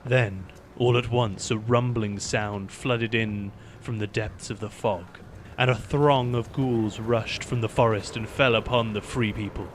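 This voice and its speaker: Guy reading dark fantasy battle narration, steady delivery, noisy recording. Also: lowish voice. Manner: measured storytelling. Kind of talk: dramatic scripted narration.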